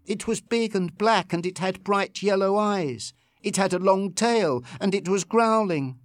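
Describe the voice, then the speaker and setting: A man's voice: a little slow, no room sound, clean studio sound. A man putting on a frightened young woman. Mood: anxious. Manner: high, urgent, frightened.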